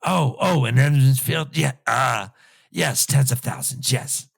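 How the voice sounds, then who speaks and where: Creaky-voiced man, emphatic mock scholar. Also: medium pitch, measured pace. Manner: emphatic, mock-scholarly, repeats himself for effect. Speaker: podcast co-host. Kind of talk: comic book recap and discussion.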